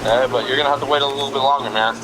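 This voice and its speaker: Young guy on a casual phone call, normal pitch, super noisy clip. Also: fairly even intonation, very fast. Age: young adult.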